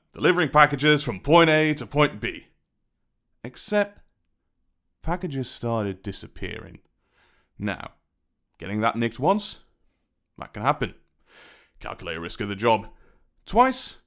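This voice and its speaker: A British gangland boss, acted by a man in a dramatic audio roleplay monologue. He threatens in a chatty, taunting tone with sardonic menace. His middle-pitched voice swings widely up and down as he toys with his victim. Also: a bit bright. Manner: taunting, theatrical, conversational threats with sardonic chuckles.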